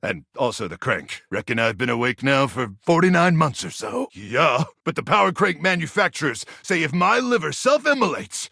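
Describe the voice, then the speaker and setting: A man voicing a frazzled, paranoid soldier microwave in a video game, gravelly and intense, full of awkward military bluster. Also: fairly high pitch, very animated, normal speed, few pauses, pushed and bright, no echo, studio quality, exaggerated voice. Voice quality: gravelly, raspy. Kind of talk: animated video game voice acting.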